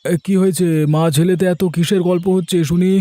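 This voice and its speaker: A man's voice: high voice, level tone, a little fast, mellow, no room sound, close to an everyday voice. A man voicing an easygoing, affectionate young father in a cartoon, soothing and placating. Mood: cheerful, placating. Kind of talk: Bengali cartoon story acting.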